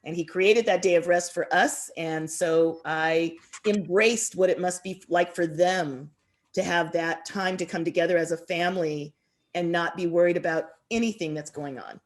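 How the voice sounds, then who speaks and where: A woman speaking as a guest author, reflective and expressive, deliberately drawing out words for emphasis. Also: fairly low pitch. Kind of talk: reflective interview answers and poetry reading.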